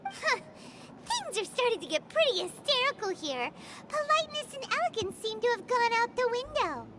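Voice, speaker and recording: A woman's voice: very high-pitched, sing-song, moderate pace, background noise. A woman voicing a chatty, tiny floating fairy-like girl companion in a video game, making perky, wry remarks.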